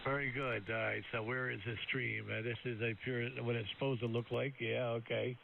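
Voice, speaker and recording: Gravelly male ham operator, rambling, halting, tinny radio with loud hiss. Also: medium pitch, little pitch movement. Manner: rambling, halting, many fillers. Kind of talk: casual radio chat.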